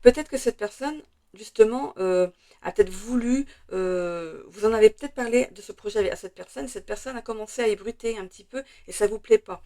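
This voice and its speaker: A French woman with a slightly hoarse voice, chatting through a tarot card reading with many hesitations and fillers. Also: medium pitch, fairly quick, mellow. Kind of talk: casual weekly tarot reading.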